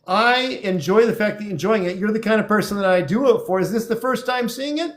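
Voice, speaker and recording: Upbeat high-voiced male live-stream host. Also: fairly quick. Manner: upbeat, encouraging, chatty. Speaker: host of a live dating show. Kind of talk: live-stream chat, reading viewer comments.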